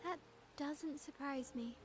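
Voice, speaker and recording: A woman voicing a pensive, guarded, gently teasing young female adventurer in an understated video game cutscene performance. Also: sits high, takes it slowly, music in the background. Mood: playful, guarded.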